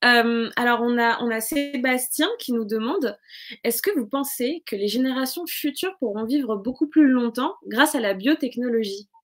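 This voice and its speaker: A woman's voice: on the high side, moderate pace. A woman hosting a casual interview, with informal, hesitant, wordy phrasing. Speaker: interview host.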